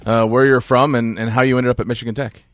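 A man's voice: medium pitch, flat delivery, quick pace, dry recording. A man hosting a casual sports interview, asking questions in a rambling, hesitant way. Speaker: host interviewer.

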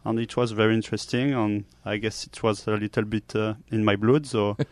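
A young man from Switzerland, answering casually in halting English. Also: fairly low pitch, little pitch movement, no echo. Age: young adult. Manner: halting non-native English. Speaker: student athlete, interview guest.

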